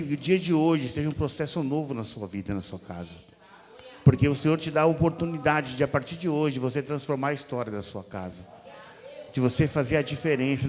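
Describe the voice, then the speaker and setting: A man's voice: on the high side, darkish tone. A Brazilian man in his fifties, a pastor, delivering a conversational, emphatic sermon with repetition and rhetorical questions to his listeners. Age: 50s. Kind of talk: sermon, conversational exhortation to a congregation. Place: church hall. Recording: reverberant hall with music underneath. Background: music.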